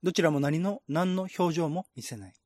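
A man delivers measured, scripted narration in the style of a radio drama. His voice sits somewhat high for a male reader. The recording is dry, with little room sound around the words. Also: a little mellow, dry recording.

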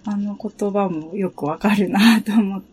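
A woman chatting reflectively as a guest on a relaxed talk show, choosing her words tentatively. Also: mid-range voice, a little slow, breathy edge. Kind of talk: relaxed reflective casual chat.

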